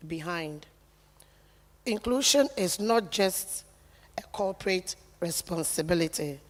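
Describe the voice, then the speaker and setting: A woman delivers a corporate speech slowly and deliberately, her voice low and soft. She speaks in an earnest, declarative way. Her words ring with a long reverb off a large hall.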